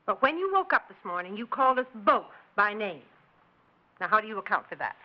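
An actress playing a defensive, indignant suburban housewife in a 1960s radio drama, sharp-tongued and challenging. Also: female voice, medium pitch, very animated, brisk, natural voice. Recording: dull 1960s radio recording.

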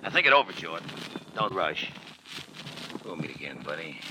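A man's voice: rumbling low, sing-song, normal speed. An actor playing a smug, slangy crook in a 1940s radio drama, talking like an uneducated tough guy. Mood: needling, menacing. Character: smug, slangy male crook scheming a frame-up. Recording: noisy old radio drama broadcast.

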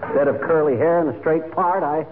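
An actor playing a boastful, lazy husband with an American accent, wisecracking folksily in 1940s radio comedy. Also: male voice, on the high side, fairly even intonation, fairly quick, lightly acted. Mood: blustery boasting. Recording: tinny, noisy old radio recording.

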